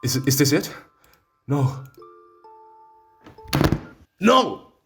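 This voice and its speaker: A man playing through a visual novel as a streamer, excitable and theatrical, reacting with real emotional investment. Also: fairly high pitch, extremely slow, vocal fry, over music. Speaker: let's play streamer. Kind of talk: visual novel playthrough, reading aloud and reacting.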